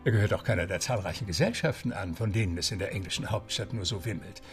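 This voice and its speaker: An actor playing a genteel, courteous English club butler who narrates a radio drama in a discursive, theatrical way. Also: male voice, low-pitched, fast talker, faint music behind. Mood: wry, chatty. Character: genteel English gentlemen's club butler recounting a story, male.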